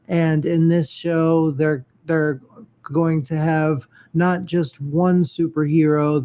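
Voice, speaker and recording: A man talking slowly and haltingly in a casual online chat, his voice set a little high but barely moving in pitch, and he keeps stumbling over his words with lots of ums and restarts as he works through his thoughts. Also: mellow. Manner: halting, many fillers and restarts.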